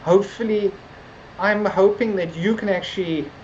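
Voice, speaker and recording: A woman's voice: mid-range voice, fairly even intonation, moderate pace, a loud crowd. A woman co-hosting a podcast in casual, unscripted chat, with a rambling, hesitant, loosely phrased delivery. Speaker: podcast co-host. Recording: dull lo-fi audio, background noise.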